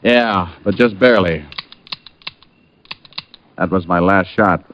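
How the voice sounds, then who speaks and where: An actor playing a wisecracking, cool-headed private detective in an old-time radio drama, with a wry, offhand, playful way of talking. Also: male voice, low voice, slow, slight background noise. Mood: urgent. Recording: muffled vintage radio broadcast with music.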